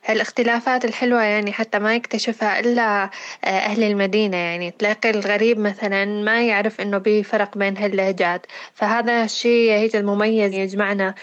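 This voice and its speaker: A woman co-hosts a podcast in an earnest, reflective way, speaking quickly. She has a Deir ez-Zor Euphrates Arabic accent. The audio sounds slightly dull and lo-fi. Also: mid-range voice, fairly even intonation. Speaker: podcast co-host. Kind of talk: casual chat.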